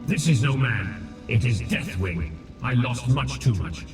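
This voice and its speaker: A man's voice: mid-range voice, expressive, a little slow. A man voicing a bitter, reclusive ancient dragon in a fantasy game, echoing and obsessively repeating words. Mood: anguished anger. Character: ancient reclusive male dragon, bitter, half-mad and brooding. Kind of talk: fantasy game cutscene acting. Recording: distant, heavily echoing, loud music.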